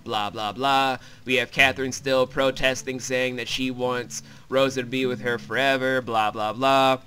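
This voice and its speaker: A man's voice: mid-range voice, flat delivery, moderate pace, pushed and bright. A man hosting a joking, crude and irreverent recap of a video game. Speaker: host, self-described comedic voice actor. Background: game music.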